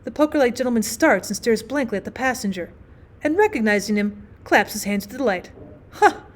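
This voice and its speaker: A woman's voice: a little high, animated, a little fast. A woman narrating a classic short story as a scripted audiobook reading. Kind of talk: scripted literary narration read aloud.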